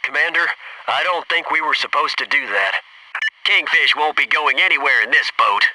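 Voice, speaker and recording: An actor playing an alert, loyal Navy SEAL squad soldier in a military shooter game, giving clipped reports and dry remarks. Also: male voice, on the high side, expressive, moderate pace, sharp tone, distant mic, slight background noise, low-quality recording. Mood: focused, businesslike.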